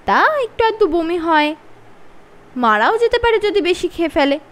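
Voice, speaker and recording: A woman's voice: very high voice, big pitch swings, moderate pace, fairly bright tone, pitched above the performer's own voice, exaggerated voice. A woman with a Bengali accent voicing a stern, teasing middle-aged uncle in a children's story. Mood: mock grave.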